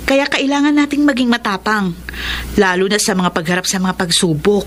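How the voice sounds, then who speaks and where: A woman playing a determined, ambitious young provincial daughter and elder sister in a Tagalog radio drama, earnest and expressive. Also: medium pitch, moderate pace, close to an everyday voice. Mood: hopeful, encouraging. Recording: clear background noise.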